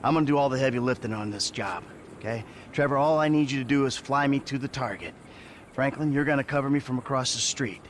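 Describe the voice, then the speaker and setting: An actor playing a weary, sarcastic middle-aged ex-robber in a video game cutscene, talking brusquely and swearing. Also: male voice, mid-range voice, fast talker, close to an everyday voice. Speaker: game character. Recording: game audio with clear engine noise. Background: traffic and vehicle noise.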